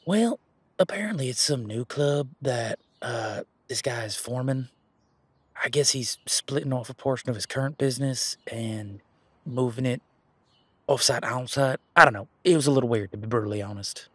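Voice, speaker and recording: A man playing a laid-back, responsible werewolf security guard in a casual roleplay audio, talking in a colloquial, halting, matter-of-fact way with a husky voice. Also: mid-range voice, fairly bright tone, no echo. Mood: sheepish, defensive.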